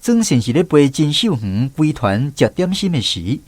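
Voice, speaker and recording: A man's voice: fairly high pitch, very animated, moderate pace, darkish tone, soft background music. A man with a Taiwanese accent giving scripted storytelling narration for a radio drama.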